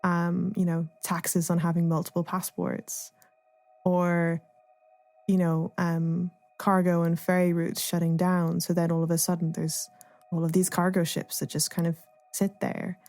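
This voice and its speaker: Woman, lower register, very level intonation, thoughtful interviewee feeling out each idea, faint music underneath. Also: completely flat delivery, unhurried, darkish tone, dry recording. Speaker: artist and playwright being interviewed. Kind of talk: reflective interview conversation.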